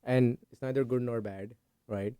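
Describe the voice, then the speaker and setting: A man's voice: medium pitch, fairly even intonation, normal speed, mellow, clean tone, no room sound. A middle-aged man hosting a podcast interview, asking long, thoughtful, winding questions. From India. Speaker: podcast host. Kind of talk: conversational interview.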